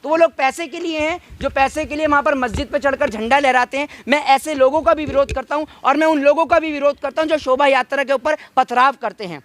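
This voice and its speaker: High-pitched, insistent man arguing politics. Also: quick pace, hardly any pauses, a bit bright. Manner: insistent, argumentative, repeats phrases for emphasis. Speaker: interviewee arguing political views. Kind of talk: heated impromptu political street debate.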